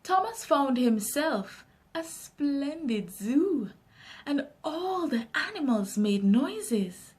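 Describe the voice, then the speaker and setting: A woman with a Jamaican accent reading a children's story aloud in an expressive, unhurried way. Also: high-pitched.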